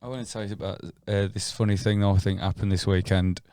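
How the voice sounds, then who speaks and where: A man's voice: on the low side, steady tone, fast, warm tone, no room sound, studio quality. A man with a British accent telling a story in a casual podcast chat, hesitating often. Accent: British English. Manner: hesitant, full of fillers and restarts. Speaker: podcast co-host.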